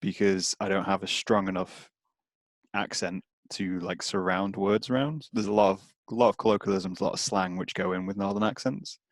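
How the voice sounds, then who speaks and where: Low gravelly English Midlands man. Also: steady tone, a little fast, hardly any pauses, dry recording. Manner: chatty, hesitant, many fillers and restarts. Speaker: podcast host. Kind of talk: casual chat.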